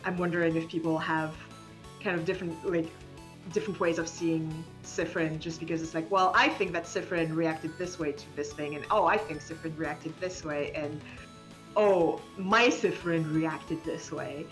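A woman game developer chatting casually as an interview guest, rambling in an animated, giggly, hesitant way. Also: deep voice, normal speed, soft background music. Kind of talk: casual spoken interview chat.

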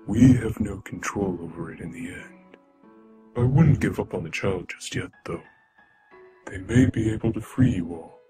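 A man voicing a gentle, sorrowful old monster father in a cartoon, speaking in a formal, solemn, measured way. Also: fairly low pitch, loud music behind the voice, lightly acted. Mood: resigned, kind. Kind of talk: animated fan dub acting.

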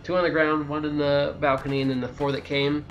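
A man's voice: on the high side, flat delivery, brisk, a bit bright, faint music behind, rough recording. A man giving a casual, sweary, exasperated video game walkthrough commentary.

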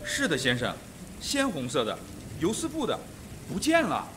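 Uneasy butler acted by a man, widely swinging pitch. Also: wildly swooping pitch, normal speed. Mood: uneasy, deferential. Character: deferential, uneasy male household butler. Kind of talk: Mandarin radio drama acting. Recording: stormy rain noise behind voice.

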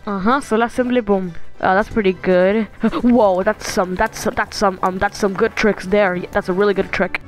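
A woman's voice: medium pitch, steady tone, moderate pace, faint music behind, lightly acted. A woman playing friendly, easygoing cartoon characters in an amateur dub, with a casual, playful manner.

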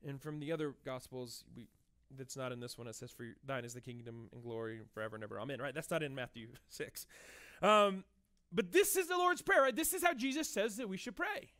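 A man in his thirties, a pastor, giving a conversational, animated sermon to his church, tossing in quick "right?" asides. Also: medium pitch. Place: church stage with band gear.

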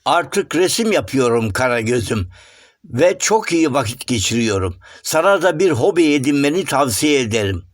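A man voicing a polite, chatty, eager gentleman in a children's cartoon, courteous and coaxing. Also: medium pitch, very animated, moderate pace, dry recording, clean studio sound. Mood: eager, explaining. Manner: courteous, coaxing, sing-song explaining. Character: polite, chatty, eager, well-mannered male shadow-puppet gentleman.

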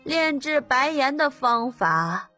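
Audiobook boy emperor: high, swooping, measured and commanding. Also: sing-song, sharp tone, soft background music, exaggerated voice. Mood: calm, commanding. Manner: slow, measured, drawn-out delivery. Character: boy emperor, young, slow-spoken and commanding.

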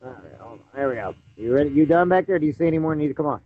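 A man in his forties, talking casually into a phone held at arm's length in a dark room at home. His voice swings widely in pitch as he laughs and jokes with his daughter, then turns to address the viewers. The audio is dull, with a faint hiss behind it. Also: animated, racing. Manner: laughing, playful, talks to viewers. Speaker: vlogger, father. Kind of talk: casual home video chat.

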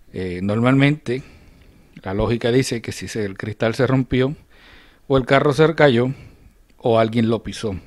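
A man narrating a casual hands-on demonstration as a channel host, often halting to search for words. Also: fairly low pitch, darkish tone, no echo. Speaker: host of a diecast restoration channel. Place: indoors at a hobby table.

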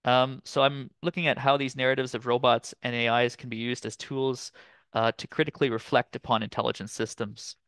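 A man from Canada in his thirties giving a relaxed, chatty academic presentation over a video call, with frequent fillers. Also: medium pitch, brisk, clean tone, no room sound. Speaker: guest lecturer. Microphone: computer mic on video call.

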